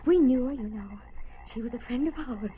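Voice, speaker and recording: Suspense radio: timid, fearful woman played by an actress, very high halting voice, dulled vintage recording. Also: a little hiss or hum, lightly acted. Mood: shaken. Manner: tremulous, halting, repeating herself. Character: shaken, timid, fearful small-town lady. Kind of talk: mid-century American suspense radio acting. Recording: muffled old radio broadcast recording.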